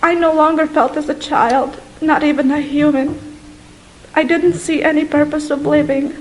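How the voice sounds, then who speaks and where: High-pitched young woman from Bosnia, earnest testimony, slow with long pauses. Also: steady tone, poor audio. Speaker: guest giving a testimony.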